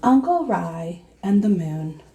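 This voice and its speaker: Woman, teacher, storybook read-aloud, expressive, lively intonation, soft-edged tone, slow pace. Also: lowish voice, slight background noise. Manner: slow, expressive, addressing young listeners. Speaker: teacher reading aloud to children.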